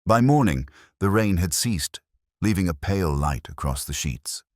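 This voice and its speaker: A man acts as an ageing detective looking back in a very deep voice. The delivery is measured and melancholy, with lively rises and falls in pitch. It sounds close and dry, like philosophical first-person audio drama narration. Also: dry recording. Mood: weary, melancholy. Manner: measured, solemn, contemplative. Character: weary ageing male English detective, reflective, melancholy, philosophical.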